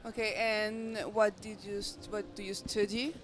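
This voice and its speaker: A young woman hosting a student radio interview, asking brisk, halting questions. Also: medium pitch, flat delivery, slight background noise. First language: French. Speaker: radio host. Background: background music.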